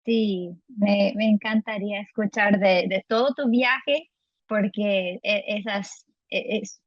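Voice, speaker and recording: A woman's voice: mid-range voice, fairly even intonation, slow pace, no echo, dull audio. A woman co-hosting a podcast, chatting as she introduces and interviews a guest, with many fillers and restarts. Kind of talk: casual interview chat.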